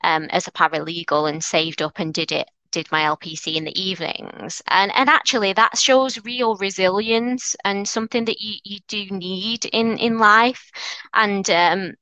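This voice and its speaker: A woman's voice: mid-range voice, steady tone, moderate pace, bright, forceful tone, dry recording, low-quality recording. A woman with a strong Mancunian accent, answering interview questions in an earnest, encouraging way with frequent restarts. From North Manchester, England. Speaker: lawyer, interview guest.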